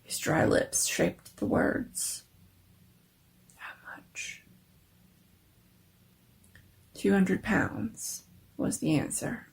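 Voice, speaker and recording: Creaky-voiced woman narrating dramatically. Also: lowish voice, very animated, takes it slowly, low-quality recording. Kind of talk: dramatic reading of a scary story.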